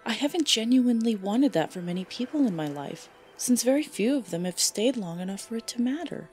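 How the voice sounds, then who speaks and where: Woman as earnest, higher-voiced teenage girl fighter. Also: expressive, darkish tone, faint music behind, natural voice. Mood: earnest, tender. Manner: sincere, halting, casual youthful phrasing. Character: earnest, affectionate teenage girl fighter, supportive best friend. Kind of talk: audiobook fan fiction dialogue.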